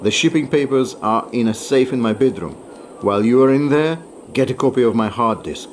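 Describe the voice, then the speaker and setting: An actor playing a sardonic, world-weary male arms dealer in a video game, with wry, deliberate, theatrical phrasing. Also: medium pitch, a little hiss or hum, natural voice. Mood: wounded, weary. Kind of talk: video game cutscene acting.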